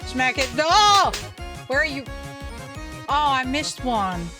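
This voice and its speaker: A woman with a fairly high voice hosts a let's play over clearly audible music. She reads the game's text aloud and adds her own playful, expressive comments. She often chatters to herself as she plays. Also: slow pace. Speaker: gaming video host.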